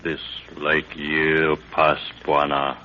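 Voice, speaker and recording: An actor playing a loyal, stoic African warrior guide who speaks terse broken pidgin English in a 1930s radio drama. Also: male voice, extremely low pitch, completely flat delivery, extremely slow, full character voice. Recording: noisy dull 1930s radio recording.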